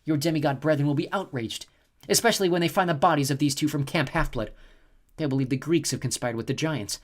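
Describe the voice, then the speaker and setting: A cold, scheming snow goddess with a quiet, purring voice, as a man acts her out. She gloats over her enemies in a storybook reading, pitched higher than the narrator's own voice. Her words are gentle in sound and icy in intent. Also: fast talker, lightly acted. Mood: icy gloating.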